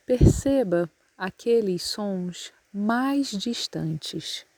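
A woman with a Brazilian Portuguese accent leads a guided yoga nidra meditation, giving calm, soothing instructions with long pauses. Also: medium pitch, dry recording.

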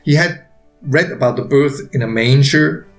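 A man narrating a written story in a deliberate, expressive way with pauses, as an author reading his own work. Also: mid-range voice. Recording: roomy echo, faint music behind.

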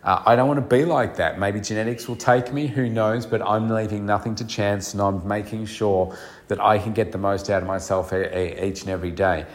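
A man's voice: lowish voice, steady tone, brisk. A middle-aged man hosting a solo podcast, speaking earnestly and reflectively with many fillers, his voice nasal and congested from a cold. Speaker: podcast host and coach.

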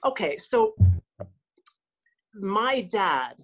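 Husky-voiced woman radio host chatting. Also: medium pitch, wildly swooping pitch, drawn-out words. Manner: chatty, lots of restarts. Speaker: radio host, podcast guest. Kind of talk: casual interview talk.

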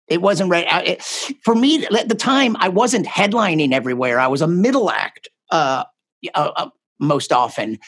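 Texas-raised male comic, animated pitch, jokey and easy laughter, casual guest. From Texas, USA. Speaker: guest comedian. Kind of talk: casual interview chat.

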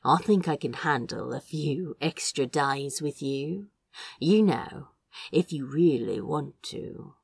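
A woman voicing a smitten male sorcerer in a tender, breathy, hushed way, as in a storybook. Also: deep voice, slow, no room sound, exaggerated voice. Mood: shy, tender.

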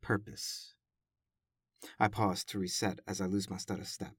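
A male podcast host talking casually, then reading his spoken-word poem in a calm, reflective, rhythmic way. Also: sits low, a little slow, husky, no echo, clean studio sound.

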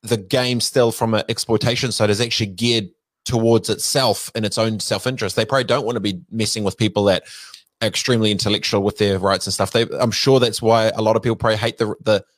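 A man's voice: fairly low pitch, steady tone, quick pace, no room sound. A man interviewing a guest, thinking aloud with many fillers before asking probing questions. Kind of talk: interview conversation.

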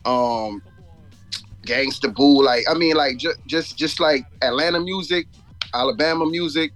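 A man's voice: on the high side, unhurried, fairly bright tone, soft background music. A man from Jamaica and Florida chatting casually as a rapper guest in an interview, telling stories in slangy talk with frequent asides. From born in Jamaica, grew up in Florida.

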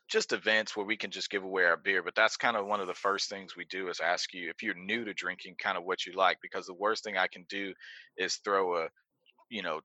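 A man's voice: low voice, pushed and bright, no room sound, poor audio. A man, a brewery co-founder talking casually in an interview with many restarts. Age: 30s. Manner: rambling, many restarts, laughs easily.

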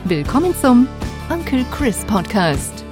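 A woman announces a podcast intro from a script, her pitch bouncing in an animated way. Her upbeat delivery sits over a music bed that's clearly audible. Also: slow pace.

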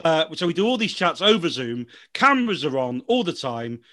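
A man's voice: a little high, big pitch swings, brisk, pushed and bright. A man hosting a podcast over a video call, jokey and chatty, teasing his co-host and laughing often.

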